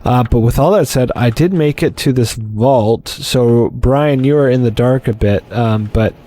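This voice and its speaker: A man's voice: medium pitch, measured pace, mellow, a little hiss or hum. A man hosting a live-streamed game let's play, talking casually with lots of restarts and fillers.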